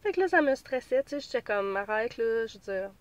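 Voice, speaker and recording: A woman's voice: a little high, expressive, a little fast, dry recording. A woman in her thirties with a Québécois French accent talks casually from inside her car. She has a cold and sounds a little stuffy. She rambles and restarts, with plenty of fillers. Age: 30s. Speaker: pregnancy vlogger. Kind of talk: casual vlog chat.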